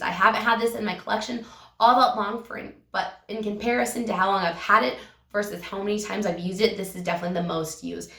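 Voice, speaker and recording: A woman vlogger reviewing her makeup, hoarse and a little raspy from a cold, talking in an enthusiastic, gushing way and slowing down on the words she loves. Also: mid-range voice, fairly bright tone, distant mic. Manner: enthusiastic, gushing, slows down to stress favorite words. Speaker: beauty vlogger. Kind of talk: casual product review. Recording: echoey room, voice a bit off-mic.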